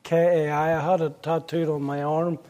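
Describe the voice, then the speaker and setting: A middle-aged man from Northern Ireland with a Belfast accent, a pastor recounting his past in an interview with wry jokes and halting restarts. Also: on the high side, little pitch movement, mellow. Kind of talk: interview storytelling.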